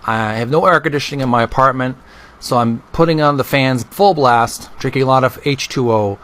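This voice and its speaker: A man hosting a casual vlog, talking and reading aloud in an earnest, deliberate way with drawn-out emphasis. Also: mid-range voice, fairly bright tone. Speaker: video host. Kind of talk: casual vlog narration with book read aloud.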